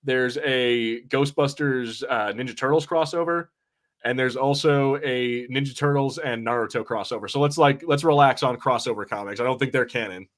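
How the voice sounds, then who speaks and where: A man's voice: medium pitch, normal speed, fairly bright tone. A man co-hosting a casual watch party podcast, reading out comments and talking in a rambling, self-correcting, sometimes profane way. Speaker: podcast co-host.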